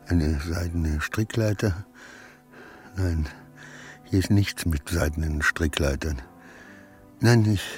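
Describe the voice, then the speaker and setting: A man's voice: low voice, a little mellow. An actor playing a distraught, obsequious man losing his grip in a radio play, rambling and questioning himself in formal, old-fashioned phrases. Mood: despairing, subdued.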